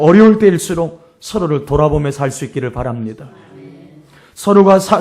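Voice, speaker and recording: A middle-aged man preaches in a notably high voice with a hoarse edge. He speaks as a pastor, moving between storytelling and exhortation, and repeats phrases for emphasis. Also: a little mellow.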